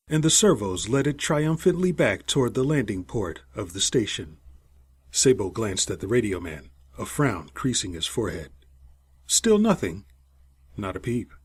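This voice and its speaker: A man narrating a story as an audiobook, reading scripted prose in an expressive, suspenseful, dramatic way. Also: medium pitch, husky.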